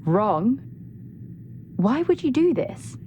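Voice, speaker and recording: A woman acting a wary, determined explorer in a video game, measured and understated, often murmuring. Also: on the high side, very animated, a little mellow, slight background noise. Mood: accusing, tense.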